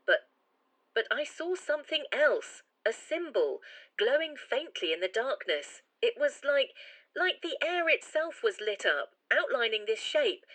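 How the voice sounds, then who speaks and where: Woman as uneasy former resident, haunted, halting, dramatic testimony, higher-pitched, highly expressive, podcast drama. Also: heavily acted, poor audio. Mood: haunted, fearful. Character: haunted, uneasy female former resident recalling frightening memories. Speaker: anonymous witness.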